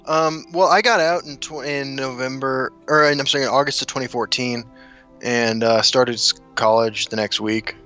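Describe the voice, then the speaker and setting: A man's voice: medium pitch, moderate pace, muffled recording, faint music behind. A man from South Dakota who grew up in Kansas City, chatting casually as a podcast guest and telling rambling stories with easy laughs. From South Dakota, raised in Kansas City. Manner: relaxed, rambling storyteller, laughs often. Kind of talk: casual chat.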